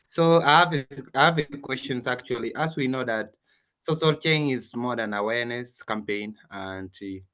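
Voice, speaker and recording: Young South Sudanese man, mid pitch. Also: normal speed, fairly bright tone. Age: young adult. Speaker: audience questioner. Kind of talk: earnest prepared question. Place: online video call.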